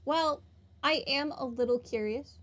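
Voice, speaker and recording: A woman's voice: high-pitched, measured pace, a bit bright, a little hiss or hum. A woman giving casual commentary on a game playthrough, with wry, flippant asides. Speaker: gaming streamer.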